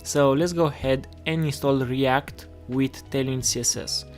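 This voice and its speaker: A man from Romania hosting a casual live coding tutorial, halting and repeating his words as he goes. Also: mid-range voice, steady tone. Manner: halting, repeats words while reading chat. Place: indoors at a computer desk. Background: music playing, keyboard typing.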